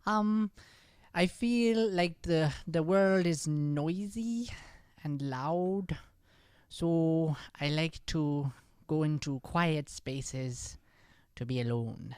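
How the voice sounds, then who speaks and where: A man's voice: on the high side, very slow, darkish tone, clean tone, no echo, clean studio sound. Man playing a stammering, shy Irish schoolboy. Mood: bashful, earnest. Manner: stammering, flustered, hesitant. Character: shy, smitten fourteen-year-old Irish schoolboy. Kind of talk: improvised old-time radio comedy play.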